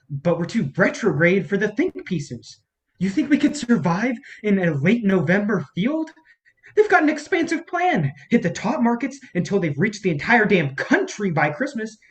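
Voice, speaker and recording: A performer at a staged reading acts out an embittered film campaign strategist at the end of their rope. The delivery is highly animated, sweeping up and down in pitch. The manner is profane, sarcastic and exasperated. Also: close to an everyday voice.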